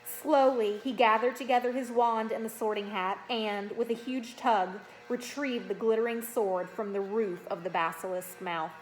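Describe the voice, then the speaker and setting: A woman reading a children's fantasy novel aloud as an expressive, dramatic narrator. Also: on the high side, normal speed, hardly any pauses, faint music behind, low-quality recording. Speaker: storybook reader.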